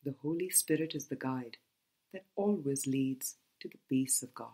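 A woman reading a spiritual book aloud as scripted narration, in a measured, deliberate manner with pauses between phrases. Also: a bass voice, dark tone. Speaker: audiobook reader.

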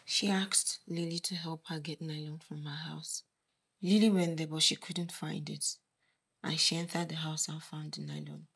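A woman playing an apologetic, subdued younger sister in a film drama, with a breathy, creaky voice. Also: low voice, fairly even intonation, moderate pace, a bit bright, exaggerated voice. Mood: upset, explaining.

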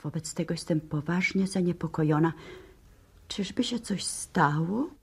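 An actress playing a dignified, anxious professor's wife in a radio play, formal and poised. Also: female voice, fairly low pitch, unhurried, a little mellow, slightly rough, full character voice. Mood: worried. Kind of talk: 1960s Polish radio play acting.

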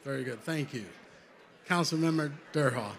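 A man's voice: on the high side, normal speed, a bit bright, slight background noise. A man moderating a panel discussion on stage, offering brief, affirming responses and posing questions into a handheld mic. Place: conference stage, indoors.

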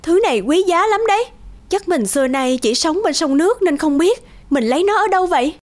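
A high-voiced, earnest young wife. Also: female voice, lively intonation, fairly quick. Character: gentle, earnest, devoted young wife in folktale. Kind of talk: Vietnamese animated folktale dubbing. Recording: music behind the voice.

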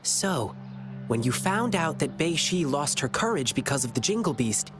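A man's voice: on the high side, very animated, moderate pace. An actor playing an earnest, kindly young lion-boy in a video game, reassuring and thoughtful beyond his years. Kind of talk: video game character dialogue. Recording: clear music behind the dialogue.

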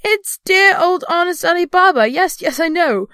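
Woman acting an excitable old miser, high-pitched. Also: a little fast, dry recording, studio quality, lightly acted. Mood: ecstatic. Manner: exclamatory, earnest, emotional. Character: old miser, excitable, overcome by boyish ecstasy and pity. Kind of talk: dramatic storybook reading.

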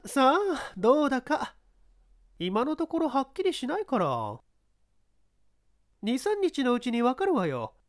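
Lady acting a cheeky defiant young woman, high voice swinging all over, audiobook drama. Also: big pitch swings, measured pace, pauses often, no room sound, studio quality. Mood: teasing, defiant.

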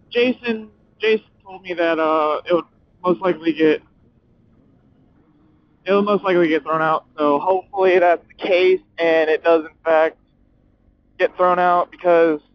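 A young man chatting casually and excitably as a motorcycle vlogger, swearing and using slang as he rambles while riding. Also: high voice. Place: outdoors, riding a motorcycle at night. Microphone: helmet communicator mic inside helmet. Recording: muffled helmet intercom mic, clear background noise.

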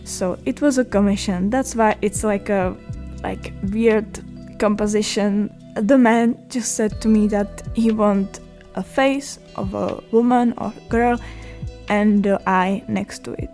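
A young woman with a Czech accent narrates slowly, pausing often and restarting her sentences. Her voice is mellow and soft at an ordinary pitch. Faint music plays underneath. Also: steady tone. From Czech Republic. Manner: halting, many fillers and restarts. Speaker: art vlogger. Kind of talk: voice-over narration.

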